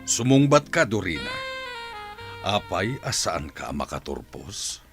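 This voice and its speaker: Stern father, wildly swinging low voice. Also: big pitch swings, takes it slowly, over music, heavily acted. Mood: angry, disappointed. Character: stern, volatile father confronting his daughter. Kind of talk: Ilocano radio drama acting.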